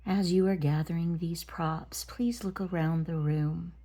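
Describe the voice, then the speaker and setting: A woman leading a guided meditation, speaking gently and deliberately in short phrases with pauses between them. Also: on the low side, steady tone. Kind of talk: guided body scan meditation.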